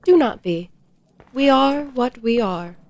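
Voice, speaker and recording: A woman playing a serene, literal-minded clockwork being in a fantasy audio drama, speaking in a measured, formal, matter-of-fact way. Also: on the high side, expressive, darkish tone.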